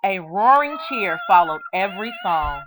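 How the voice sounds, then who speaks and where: A woman narrates a children's book in a read-aloud style. Her voice sits at a middle pitch. She tells the story expressively, phrasing each part in a measured, deliberate way. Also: lively intonation, loud music behind the voice, rough recording.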